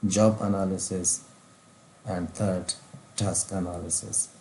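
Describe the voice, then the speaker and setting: A middle-aged man with an Afghan accent reads out a formal training lecture in a deliberate, phrase-by-phrase way. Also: low voice, warm tone, low-quality recording. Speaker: vocational training specialist. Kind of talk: scripted lecture narration over slides. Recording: faint background noise.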